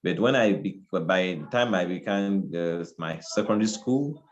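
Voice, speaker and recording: Reflective low-voiced man from Cameroon. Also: expressive, normal speed, highs cut off, a little hiss or hum. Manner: reflective, repeats phrases while searching for words. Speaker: guest. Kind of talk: casual interview talk.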